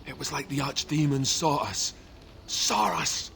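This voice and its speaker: An actor playing an alarmed male warrior companion in a fantasy video game, speaking with hushed urgency. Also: a little high, measured pace, gravelly, slight background noise, heavily acted.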